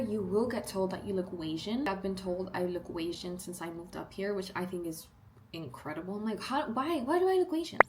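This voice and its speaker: A young woman in her early twenties with a South Texas accent, talking in a chatty, upbeat way. Her voice sits at an ordinary middle pitch. A faint hiss of background noise runs under the home recording. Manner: chatty, upbeat, animated asides and playful quoted voices. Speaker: beauty vlogger. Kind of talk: casual get-ready-with-me chat. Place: indoors at home, doing makeup.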